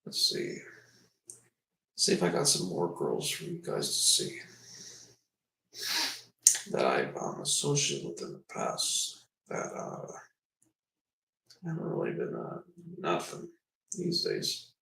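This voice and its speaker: A man in his twenties rambles through a casual livestream, drifting between topics with self-deprecating asides. His voice sits very low and swings widely in pitch. He is recorded in an echoey room, some distance from the mic. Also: wildly swooping pitch, takes it slowly, vocal fry, long pauses, pushed and bright. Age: 20s.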